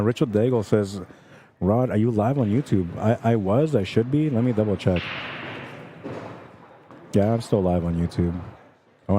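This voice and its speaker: Man, deep voice, calm and easygoing, soothing tone, reading chat on a pool stream. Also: a little hiss or hum. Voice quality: soothing, relaxing voice. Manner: calm, easygoing and chatty, with soft laughs. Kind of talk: casual live-stream commentary, reading viewer comments aloud. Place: pool tournament venue, indoors.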